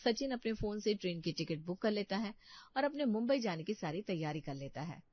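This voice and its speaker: A woman's voice: mid-range voice, lively intonation, a little fast, muffled recording. A woman narrating a scripted story as its storyteller.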